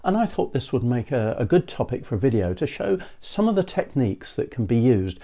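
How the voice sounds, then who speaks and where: An older man in his sixties giving scripted how-to narration in a measured, methodical way, as a presenter. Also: mid-range voice, big pitch swings, warm tone. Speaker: presenter of an electronics how-to video.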